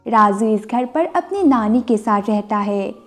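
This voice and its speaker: A woman's voice: a little high, lively intonation, unhurried. A woman narrating a scripted moral bedtime story as a storyteller. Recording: music bed under the voice. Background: background music.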